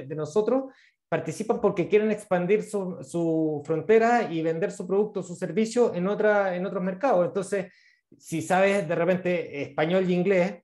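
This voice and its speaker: Man, 30s, Chilean accent, raised pitch, fast, filler-heavy, video call earphone mic. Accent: Chilean Spanish. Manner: fast, repeats words, many fillers, animated. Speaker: guest speaker on international internships. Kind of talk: casual advice in an online talk. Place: small room indoors.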